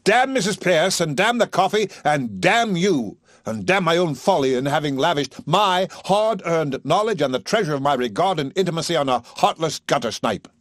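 A man's voice: fairly high pitch, lively intonation, fairly quick, dry recording, studio quality, voice pushed up. A man doing a raging, blustering professor. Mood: indignant. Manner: explosive, indignant outbursts. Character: blustering male professor, irascible, self-pitying.

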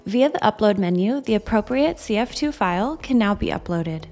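Woman narrating a software tutorial over music. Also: mid-range voice, expressive, normal speed. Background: background music.

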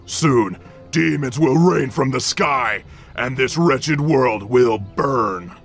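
Orc warlock, fantasy game, gravelly growl, slow ominous delivery, fanatical, menacing. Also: male voice, mid-range voice, a bit bright, faint music behind.

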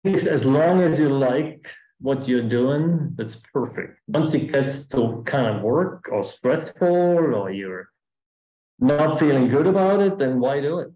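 A man's voice: medium pitch, lively intonation, slow, dark tone. German-accented man chatting in an echoey room. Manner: chatty, rambling with restarts. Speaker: guest speaker. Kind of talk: casual talk answering questions.

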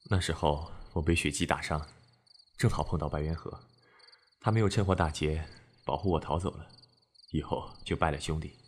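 Man as a very deep-voiced brooding warrior. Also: moderate pace. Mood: subdued. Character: brooding, bitter outcast male demon warrior. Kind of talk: Chinese costume radio drama acting. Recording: echoey hall reverb, music behind.

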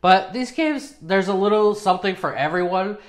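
Casual male video presenter, thirties, slightly high voice, informal and self-deprecating, small home studio. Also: expressive, normal speed. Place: small home studio with acoustic foam, indoors.

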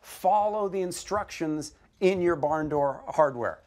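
A man's voice: a little high, measured pace, darkish tone. Easygoing man explaining a DIY build. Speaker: guest host demonstrating a DIY project. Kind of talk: casual TV how-to chat. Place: TV studio living-room set.

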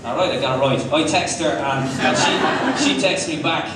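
A man's voice: fairly high pitch, normal speed, far from the mic. An Irish man in his thirties doing conversational stand-up storytelling on a club stage. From Ireland. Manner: conversational, sweary. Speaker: stand-up comedian. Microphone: handheld mic, heard from audience camera. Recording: very echoey, noisy club recording from afar.

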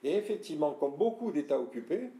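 An older man from France giving a talk to an audience, explaining and addressing listeners directly, often clearing his throat. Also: a little high, very animated, fast, dark tone. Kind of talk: lecture with audience questions. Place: room with audience. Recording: echoey room.

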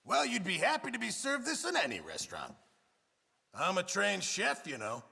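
Gravelly, huffy Western camp cook. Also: male voice, high-pitched, sing-song, normal speed, pushed and bright, long reverb tail, full character voice. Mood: proud. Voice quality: gravelly, husky. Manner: flustered, huffy, self-important. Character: put-upon middle-aged camp cook in a Western, touchy, grumbling. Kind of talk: video game character barks.

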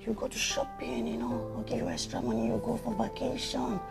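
Comedy skit: woman doing a soft-spoken husband, stammering and pleading, music underneath. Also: a little high, little pitch movement, fairly quick, vocal fry. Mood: begging. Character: pleading smitten husband, man, grown.

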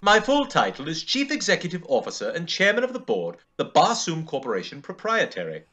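A man's voice: a little high, huge pitch swings, fairly quick, pushed and bright, slightly rough, heavily acted. A man playing an urbane, genial alien chief in a radio serial, speaking with genteel, wry courtesy. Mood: genially amused. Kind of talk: old-time radio serial acting.

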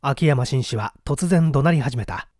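A man's voice: medium pitch, lively intonation, normal speed, darkish tone, no room sound, clean studio sound. A man narrating a mystery story in a dramatic, scripted reading style.

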